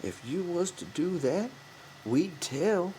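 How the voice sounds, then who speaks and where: Man voicing a soft-spoken old ranch hand. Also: high voice, sing-song, slow, a little hiss or hum, pitched above the performer's own voice, full character voice, rough recording. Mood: quiet, defeated. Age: older. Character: old ranch hand, quiet, cautious, eager to please. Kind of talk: audiobook character voice.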